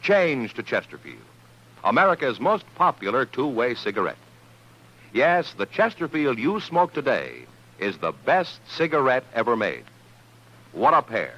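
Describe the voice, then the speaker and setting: A man's voice: medium pitch, sing-song, measured pace, fairly bright tone. A man reading a 1950s radio advertisement as an announcer, with an upbeat, punchy salesman's delivery. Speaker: commercial announcer. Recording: dull vintage radio recording.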